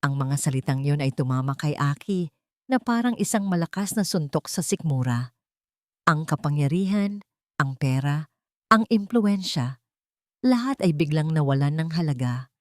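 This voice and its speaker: A woman's voice: sits low, animated, moderate pace, dry recording, studio quality. A woman narrating a scripted story in a dramatic style.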